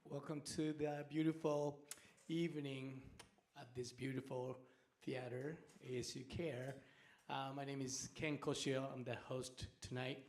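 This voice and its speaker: Middle-aged guy from japan hosting a story night, halting and chatty, laughs a lot, medium pitch. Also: fairly even intonation, darkish tone. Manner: halting, chatty, laughs often, many fillers. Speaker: host and storyteller. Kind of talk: casual live stage hosting. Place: theater stage.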